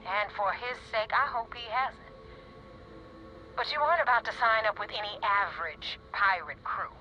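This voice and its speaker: A tough space pirate boss from a video game. She is a woman with a mid-pitched voice who gives orders with cold, sardonic menace. She sounds ruthless and used to being obeyed, with music clearly playing underneath. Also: sharp tone, poor audio. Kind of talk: video game dialogue acting.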